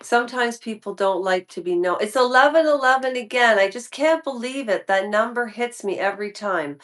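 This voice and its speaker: A woman's voice: fairly high pitch, normal speed, fairly bright tone. A woman in her fifties talking casually to her viewers in a vlog, rambling and often restarting her sentences. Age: 50s. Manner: rambling, restarts and trails off mid-thought. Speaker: YouTube commentary creator.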